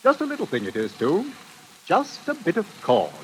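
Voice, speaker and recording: An actor playing a chatty, boastful police inspector with morbid tastes in a 1940s radio thriller. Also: male voice, sits high, huge pitch swings, moderate pace. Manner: chatty, hesitant, gloating. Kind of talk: 1940s radio suspense acting. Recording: hissy vintage radio recording.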